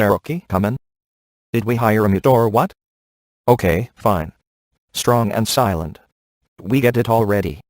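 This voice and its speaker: A sardonic male ghost hunter from a video game, voiced by a man, in a middle-pitched voice. He is cocky and laid-back, tossing off deadpan, sarcastic remarks in a very dry recording. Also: dry recording, lightly acted.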